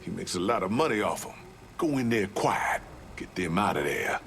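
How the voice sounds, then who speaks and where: A man's voice: low voice, very animated, moderate pace, vocal fry, a bit bright, a little hiss or hum, full character voice. An actor voicing a streetwise, embittered Southern man in a video game, with folksy, salty talk. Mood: bitter, scheming. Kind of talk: video game cutscene acting.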